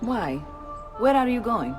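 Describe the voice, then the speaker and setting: A woman's voice: on the high side, big pitch swings, measured pace. A worldly Italian madam and shrewd mentor from a video game. She is composed, courteous and sure of herself, with an Italian accent, over a light musical score. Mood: firm, knowing. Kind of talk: measured video game dialogue acting. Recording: music behind the voice.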